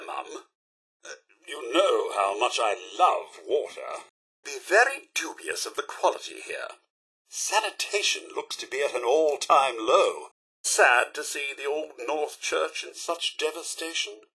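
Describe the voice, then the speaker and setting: Fussy British robot butler, theatrically animated. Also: male voice, fairly high pitch, slightly rough, full character voice. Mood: dismayed, wistful. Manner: formal, deferential, theatrically expressive. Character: polite, fussy robot butler, refined and easily dismayed. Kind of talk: video game companion voice lines.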